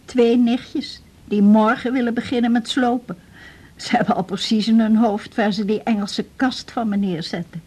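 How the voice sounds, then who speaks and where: A woman's voice: a little high, fairly even intonation, warm tone, slightly rough, poor audio. An actress playing a frail, talkative old Dutch widow, a patient clinging to her house, in a radio drama. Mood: indignant. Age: older. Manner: chatty, plaintive, with halting repetitions.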